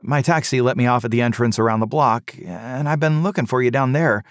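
A man's voice: mid-range voice, a little fast, no echo, studio quality, pitched above the performer's own voice, lightly acted. Man voicing an apologetic young college son. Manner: eager, apologetic.

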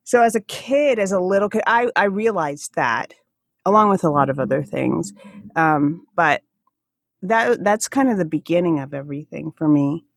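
A woman with an American accent, faintly Alaskan, speaking as an interview guest in a casual, halting way, searching for words. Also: lowish voice, lively intonation, vocal fry, no echo, studio quality. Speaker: podcast guest, comedian and activist.

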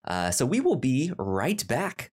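A man's voice: medium pitch, huge pitch swings, a little slow, a little mellow, breathy edge. A man hosting a podcast in casual conversation, with a stutter that repeats sounds and words. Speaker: podcast host.

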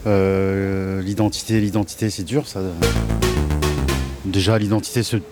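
Homme grave interrogé dans la rue. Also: male voice, on the low side, darkish tone. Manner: hesitant, searching for words. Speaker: passer-by in street interview. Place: outdoors on a street.

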